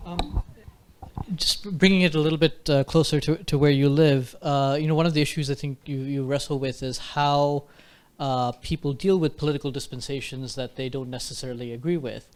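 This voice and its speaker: A man moderating a lecture Q&A, asking a measured question with fillers and restarts. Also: mid-range voice, steady tone, a little mellow.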